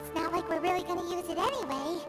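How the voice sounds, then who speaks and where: A woman's voice: very high-pitched, quick pace. A woman voicing a tiny, childlike companion creature in a video game, cheeky, blunt and exclamatory. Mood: nonchalant. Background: loud game music.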